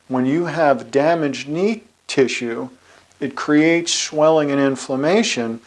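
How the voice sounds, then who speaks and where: A man, a chiropractor, giving an explanatory talk with slides, measured and slowing on key terms. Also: mid-range voice.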